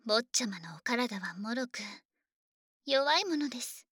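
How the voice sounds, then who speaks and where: Soft-spoken dragon maid. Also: female voice, a little high, expressive, measured pace, husky, no echo, studio quality, full character voice. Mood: gently firm. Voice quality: soft, often whispered. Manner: formal, doting and gently teasing. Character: devoted polite female dragon maid to a young master. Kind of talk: ASMR sleep roleplay.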